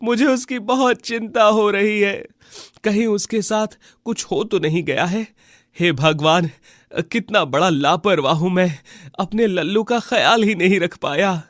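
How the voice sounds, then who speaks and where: A poor, tender-hearted villager from a cartoon fable, acted by a man. He pleads plaintively in a very high male voice, stretching the words out slowly in a dry recording. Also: a bit bright, no echo. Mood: sorrowful. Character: poor, tender-hearted villager pining for his lost bull. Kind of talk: Hindi animated moral story dialogue.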